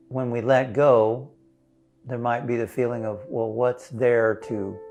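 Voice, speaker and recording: A man leading a guided meditation as a minister, speaking in a gentle, soothing, unhurried way with long pauses. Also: mid-range voice. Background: instrumental music.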